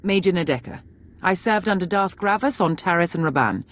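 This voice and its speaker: A woman's voice: on the low side, expressive, fairly quick, natural voice. A woman playing a stern, businesslike Imperial major, briefing formally in a video game. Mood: brisk, authoritative. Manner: formal military briefing delivery.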